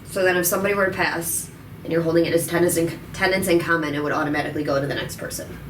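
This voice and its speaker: A young woman with a Chicago-area American accent and a nasal voice, chatting quickly and casually as co-host. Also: fairly low pitch, fairly even intonation, background noise. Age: young adult. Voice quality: nasal today. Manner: chatty, quick, laughs easily. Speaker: title company co-host. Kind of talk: casual chat. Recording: echoey room, mic at a distance.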